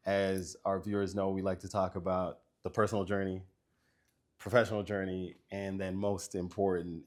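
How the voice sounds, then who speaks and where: A man with a very deep voice hosts a relaxed interview. He talks in a laid-back way, repeats words, and fills pauses with fillers. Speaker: interview host.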